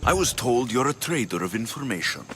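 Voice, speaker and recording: An actor playing a blunt young Spartan mercenary in a video game, speaking tersely and drily. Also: male voice, medium pitch, big pitch swings, brisk, slight background noise. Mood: guarded. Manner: terse, dry, matter-of-fact. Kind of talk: video game cutscene acting. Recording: game audio with loud score behind.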